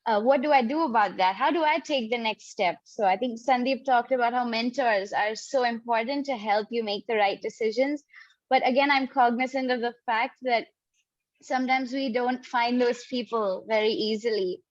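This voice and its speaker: A woman's voice: fairly high pitch, moderate pace, no echo. A young woman on a panel giving earnest, encouraging advice over a video call, often using "like" as a filler. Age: young adult. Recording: dull lo-fi sound.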